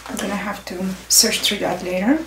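A young woman playing a stern, methodical airport security officer in a soft-spoken ASMR roleplay, deliberate and deadpan. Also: medium pitch, close to an everyday voice. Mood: stern, procedural. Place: small indoor room set. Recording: roomy, distant, noisy with clicks.

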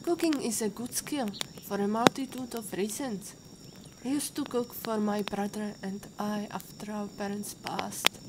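An actress playing a patient, caring warrior mentor with a Russian accent and formal, instructive phrasing, in an audio drama. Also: female voice, medium pitch, steady tone, measured pace, background noise, natural voice. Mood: warm, teasing. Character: patient female warrior mentor, former Interpol agent, caring teacher.

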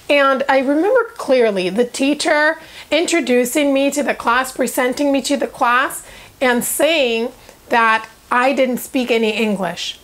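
Higher-pitched young Spanish-native woman, earnest. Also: a bit bright. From Cuba, later South Florida. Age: young adult. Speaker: presenter of a personal video channel. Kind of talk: personal storytelling to camera. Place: small furnished room indoors.

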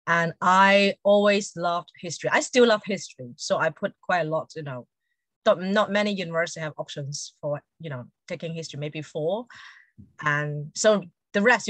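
A woman's voice: lowish voice, a bit bright, dry recording. A woman in her forties with a Hong Kong accent, chatting casually as an interview guest and often saying "you know". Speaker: interview guest, Montessori educator. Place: indoor room at home, video call.

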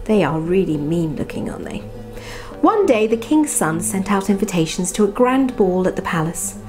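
A woman reading a bedtime storybook softly and expressively, with chatty asides about the pictures. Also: on the low side, big pitch swings, moderate pace. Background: music.

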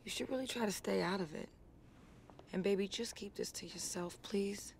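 An actress playing a young woman who warns her boyfriend in a soft, pleading voice, sometimes hushed, in a game cutscene. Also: mid-range voice, moderate pace, a little hiss or hum, natural voice. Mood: worried. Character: young woman, worried girlfriend warning her man. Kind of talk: video game cutscene acting.

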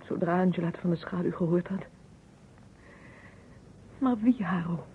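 A woman's voice: on the low side, moderate pace, slight background noise, natural voice. An actress playing an emotional, reproachful woman in distress in a radio detective drama. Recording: muffled, narrow old radio recording.

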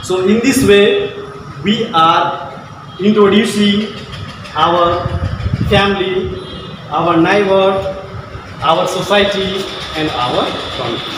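A man in his thirties with a Nepali accent, a Maithili speaker, teaching a school lesson to camera in short, halting phrases. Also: sits high, noisy background. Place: classroom with whiteboard, indoors. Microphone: far camera. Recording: echoey room from far off.

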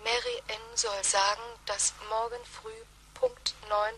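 A woman playing a detached computer contact in a sci-fi radio drama, speaking in a breathy whisper and clipped formulas. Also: fairly high pitch, big pitch swings, takes it slowly, pushed and bright, a little hiss or hum, exaggerated voice, rough recording. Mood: detached, formulaic.

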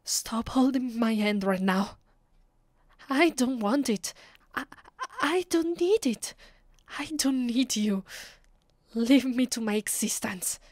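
Woman acting as a haughty vampire lady, italian accent, breathy whispers, really slow, close dry asmr sound. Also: fairly high pitch, lively intonation, no room sound. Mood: flustered, pained. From Italy. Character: proud, conflicted female vampire mistress of a castle.

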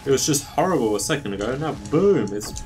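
A young man in his twenties giving excitable, casual commentary on a video game as he plays. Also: medium pitch, fairly quick. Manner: excitable, exclamatory, talking to himself. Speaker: gaming streamer. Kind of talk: casual let's-play commentary. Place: small room at home. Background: game music.

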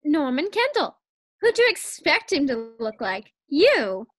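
A woman's voice: high-pitched, big pitch swings, unhurried, no echo, clean studio sound. A woman playing a sassy, playful, easily exasperated wife in an old-time radio sitcom style. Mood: exasperated, sarcastic.

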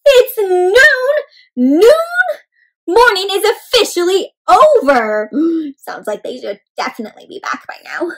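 A woman's voice: extremely high pitch, very animated, slow pace, voice pushed up, full character voice. A woman voicing an anxious little egg boy in a picture book, frantic and exclaiming. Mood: panicked.

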